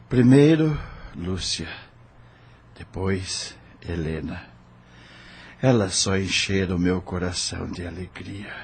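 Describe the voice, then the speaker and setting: A man's voice: deep voice, slight background noise. A man playing a wounded, defiant prisoner in an audio drama, bitter yet steadfast in his faith.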